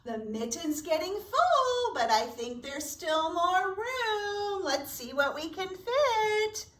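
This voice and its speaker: Female children's storyteller, very slow and expressive, high register, distant roomy sound. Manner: slow, deliberate, expressive delivery for young children. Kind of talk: preschool storytime with story and sing-song rhyme. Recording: roomy echo, distant microphone.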